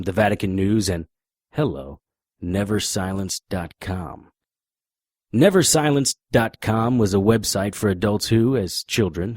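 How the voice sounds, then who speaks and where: A man playing a wry, laconic private detective in a noir audio drama, with deadpan delivery. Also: sits low, dry recording, clean studio sound.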